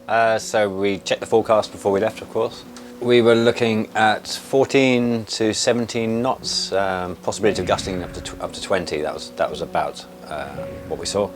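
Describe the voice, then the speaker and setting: A man's voice: fairly low pitch, steady tone, normal speed, over music. A man, a sailing instructor, explaining in an interview with occasional fillers.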